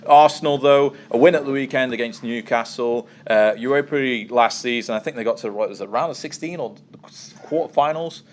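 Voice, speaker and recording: A man of middle pitch hosts a casual sports chat, talking loosely and filling gaps with ums and uhs. A faint hiss of background noise sits under his voice. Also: fairly quick. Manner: chatty, hesitant with many ums and uhs. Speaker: host.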